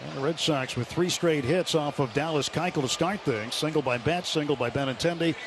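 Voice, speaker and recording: A man's voice: a little high, fairly quick. A man calling live baseball play-by-play as a radio broadcaster. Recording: loud ballpark crowd noise behind. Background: stadium crowd and ambience.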